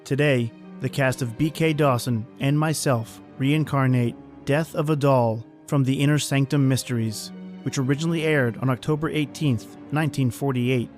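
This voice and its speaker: A man's voice: medium pitch, steady tone, moderate pace, darkish tone, soft background music. A man hosting and narrating a horror anthology in a scripted, theatrical, ominous style. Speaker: podcast host and narrator.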